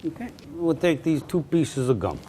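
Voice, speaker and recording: A man's voice: on the high side, slight background noise. A man who is a magician, telling stories and showing tricks in a casual chat. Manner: storytelling, animated, quick to laugh. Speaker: magician guest.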